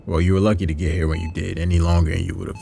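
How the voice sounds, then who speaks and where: A man playing a kind, concerned hospital worker in an audio drama, speaking gently and hesitantly with a creaky voice. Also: deep voice, little pitch movement, very fast, slight background noise. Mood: gentle, earnest. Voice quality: gravelly, creaky. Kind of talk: naturalistic audio drama acting.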